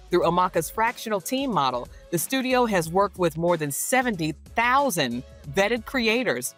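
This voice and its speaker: A woman's voice: fairly low pitch, fairly bright tone. A woman presenting and interviewing as a show host, with a polished, complimentary delivery.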